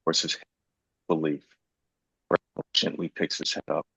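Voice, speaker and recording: Very deep, slow, husky man in echoey room. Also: completely flat delivery, pushed and bright. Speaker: actor reading stage directions. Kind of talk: scripted narration at a live table read. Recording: muffled, echoey room pickup.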